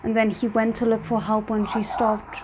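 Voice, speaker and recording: A woman's voice: fairly high pitch, monotone, moderate pace, over music, rough recording. A woman giving casual reaction commentary as a host, musing and thinking aloud. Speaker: host of a drama reaction channel.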